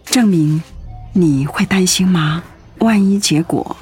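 A woman's voice: fairly low pitch, takes it slowly, a little mellow, soft background music. A woman playing a devoted, anxious middle-aged wife in a radio drama, earnest and full of concern. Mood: earnest, caring.

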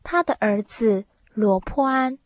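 A woman narrating a scripted radio drama in a measured storytelling manner. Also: on the high side.

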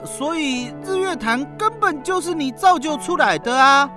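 A woman with a Taiwanese Mandarin accent playing an excitable, cheeky school child in a children's radio drama, theatrical and exclamatory. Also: high-pitched, normal speed, faint music behind. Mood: curious, challenging.

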